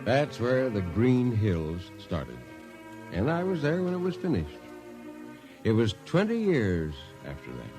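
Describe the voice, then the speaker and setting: A man's voice: medium pitch, huge pitch swings, a little slow, dark tone, soft background music. An actor playing a veteran spaceship chief jetman, a plain-spoken shipmate, in old-time radio drama. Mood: reminiscing. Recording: vintage radio recording.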